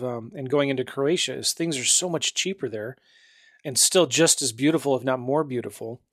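A man's voice: medium pitch, fairly bright tone, no room sound, studio quality. A man hosting a podcast interview, upbeat and chatty, laughing easily. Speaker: podcast host.

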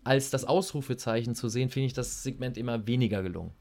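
A man's voice: mid-range voice, fast talker, darkish tone. Male co-host, critical wrestling analyst, casual talk with hesitant "ähm"s. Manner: critical, lays out reasoning, hesitant fillers. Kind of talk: casual opinion chat.

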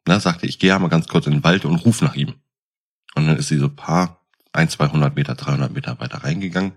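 Male, very low and rough-edged. Animated, casual anecdote teller. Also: fast talker.

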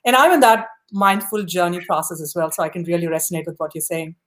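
A woman's voice: lowish voice, expressive, quick pace. Upbeat woman hosting a live talk show. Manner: upbeat, encouraging, many "you know" fillers. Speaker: podcast host. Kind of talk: casual interview chat.

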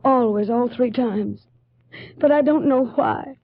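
An American woman playing an earnest, troubled widow with a husky, plaintive voice in a 1950s radio drama. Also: fairly high pitch, slow pace, dry recording. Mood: troubled, subdued. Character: troubled, earnest widow of a murdered car dealer. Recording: thin phone-like old radio, slightly dry.

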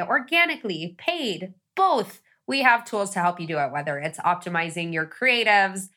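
A woman's voice: mid-range voice, bright, forceful tone. A woman co-hosting a podcast, reading its sponsor message and intro in an upbeat, promotional way. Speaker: podcast co-host and ad reader. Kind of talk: scripted podcast intro and ad read.

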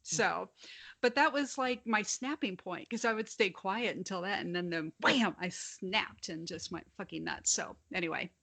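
A woman's voice: medium pitch, normal speed, gravelly, fairly bright tone. A young adult woman with a slight lisp, telling stories frankly in a casual podcast chat and swearing freely. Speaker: podcast co-host.

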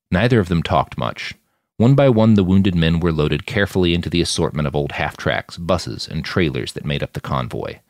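Man, very low voice, lively intonation, close dry sound, audiobook-style storytelling. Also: no echo. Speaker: author narrating own fiction podcast. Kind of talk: audiobook-style fiction narration.

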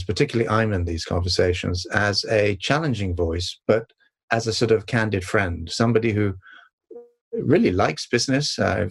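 An older man with a calm voice, answering interview questions in a measured, reflective way with many pauses and restarts. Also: on the low side, vocal fry, breathy edge. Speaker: sustainability consultant and author, guest.

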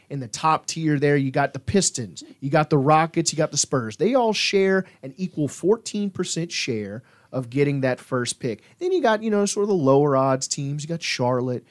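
A man's voice: a little high, animated, normal speed, few pauses. A man hosting a casual sports talk show in a jokey, chatty way. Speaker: podcast host.

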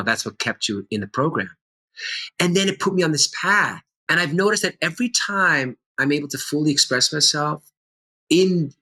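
A man's voice: mid-range voice, pushed and bright. A middle-aged man, an actor and teacher, talking earnestly and with animation in a conversational interview.

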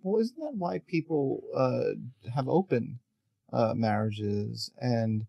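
A man's voice: medium pitch, animated, slow pace, gravelly, warm tone, no echo. A man hosting a podcast interview, chatty and playful, often laughing as he talks. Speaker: podcast host. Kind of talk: casual interview chat.

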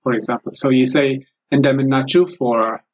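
A man whose first language is Amharic, speaking English with an Ethiopian accent, answering interview questions carefully with frequent pauses. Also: medium pitch, fairly even intonation. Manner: halting, careful, many pauses. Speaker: guest, former English teacher. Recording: lo-fi recording.

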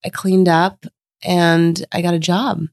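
A woman's voice: lowish voice, little pitch movement, slow, no echo, studio quality. A woman from Sweden, a podcast guest, telling her life story candidly while a bit under the weather. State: unwell, lower voice. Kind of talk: casual interview conversation.

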